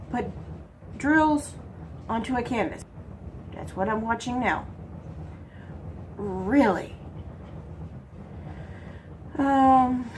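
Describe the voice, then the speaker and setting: A woman's voice: sits high, slight background noise, low-quality recording. A congested woman from Texas, chatting casually while she works on a craft and answering her own questions. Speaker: crafting vlogger.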